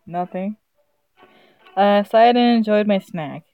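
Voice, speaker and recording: A woman's voice: medium pitch, measured pace, vocal fry, no echo, muffled recording, soft background music. A woman streaming a visual novel, reading it aloud casually, often stumbling over the words in a giggly way. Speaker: game streamer.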